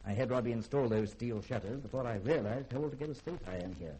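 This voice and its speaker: An actor playing a learned, secretive middle-aged scientist in a 1950s radio drama, speaking in formal, polished, theatrical sentences with a husky edge. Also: male voice, mid-range voice, fast, mellow, natural voice, low-quality recording. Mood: urbane.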